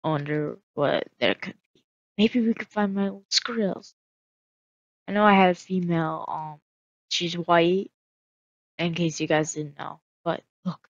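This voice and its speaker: Slow, unhurried woman narrating a game. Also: on the low side, fairly even intonation, no echo, highs cut off. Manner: hesitant, filler-heavy, musing aloud. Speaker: solo roleplay player.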